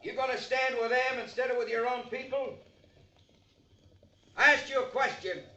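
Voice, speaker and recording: A man's voice: extremely high pitch, fairly even intonation, normal speed, bright, forceful tone, highs cut off, full character voice. An actor playing a bitter, domineering older rancher in a radio western, blunt and folksy in his commands. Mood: challenging. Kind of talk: old-time radio western acting.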